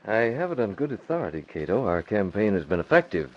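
A young, self-assured masked hero in a vintage radio serial. The voice is deep, calm and commanding. The recording has the dull tone of an old broadcast. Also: male voice, quick pace. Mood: calm, determined. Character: confident young newspaper publisher, secretly a masked crime-fighter. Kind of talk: old-time radio drama acting.

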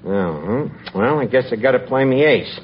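Male actor as lowbrow New schemer, hesitant wheedling talk, bouncy intonation, vintage radio comedy, noisy muffled recording. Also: animated, moderate pace, close to an everyday voice. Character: scheming, wisecracking lowbrow city guy, male. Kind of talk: 1940s radio comedy acting.

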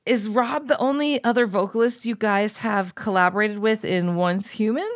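A woman hosting a casual podcast interview, a vocal teacher with a low voice who explains at length. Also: normal speed. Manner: talkative, explaining in long runs.

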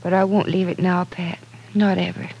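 A woman's voice: on the low side, flat delivery, normal speed, creaky, mellow, slightly rough, low-quality recording. An actress playing a candid, caring young woman in an old-time radio drama, conversational and reflective. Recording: vintage radio broadcast.

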